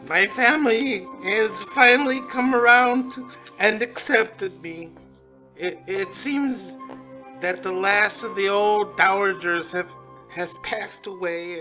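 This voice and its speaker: A man playing a hapless, earnest, apologetic husband in a comic noir radio drama, halting and stammering as he speaks. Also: sits high, rough recording. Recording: music behind.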